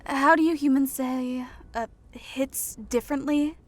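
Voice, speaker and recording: A woman's voice: sits high, fairly even intonation, measured pace. A woman voicing a cheerful, clingy young fantasy woman in an audiobook, chatty and playful. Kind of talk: audiobook character dialogue.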